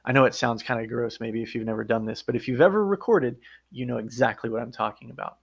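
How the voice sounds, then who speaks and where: A man's voice: medium pitch, quick pace, vocal fry. A man hosting his own podcast, talking through his work as an audiobook narrator in an earnest, step-by-step conversational way. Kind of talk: casual solo podcast monologue.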